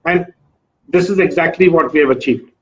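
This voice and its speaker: A man's voice: fairly high pitch, moderate pace, highs cut off, a little hiss or hum. A man speaking as a coach and interviewer, stressing and repeating his key words with emphasis. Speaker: shooting coach, host.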